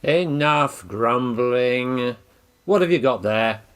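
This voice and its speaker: A man's voice: mid-range voice, animated, slow, clear, resonant tone. A man acting a bullying, hot-tempered duke in a radio play, blustering and domineering. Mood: menacing. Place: actor's home, recorded remotely.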